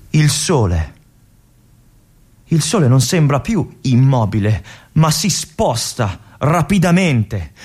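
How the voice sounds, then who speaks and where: A husky, wistful lieutenant. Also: male voice, a little high, heavily acted. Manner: reflective, with long pauses and soft whispered asides. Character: aging male army lieutenant, lonely, wistful, resigned. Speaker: stage actor. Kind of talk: theatrical monologue for radio.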